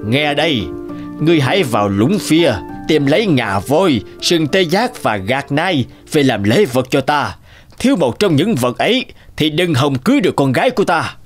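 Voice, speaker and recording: An actor voicing a stern, scheming middle-aged lord in an animated folk tale, haughty and menacing. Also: male voice, fairly high pitch, moderate pace, soft background music. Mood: commanding.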